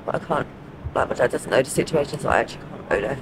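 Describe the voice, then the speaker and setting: A woman in her thirties with a South London accent answers hesitantly in a street interview. Her replies are short and plain. Traffic and street noise sit clearly behind her. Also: extremely low pitch, animated, rapid-fire. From Croydon, South London, England. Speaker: homeless interviewee. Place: outdoors in a city doorway at night. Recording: noisy street with traffic ambience.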